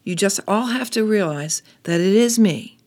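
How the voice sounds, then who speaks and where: A woman teaching children's lessons, hoarse from laryngitis, speaking in a scratchy half-whisper and coaxing the children with questions. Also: medium pitch, expressive, moderate pace, darkish tone. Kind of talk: gentle talk to children.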